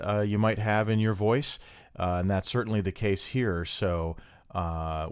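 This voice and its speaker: A man's voice: lowish voice, clean tone, no echo, studio quality. A man casually explaining an audio production technique in a tutorial style.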